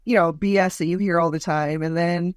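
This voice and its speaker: A woman in her forties with a deep voice, a comedian guest telling stories in a lively, mocking way. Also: a little fast, dry recording, studio quality. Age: 40s. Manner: lively, storytelling, mocking asides. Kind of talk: casual interview chat.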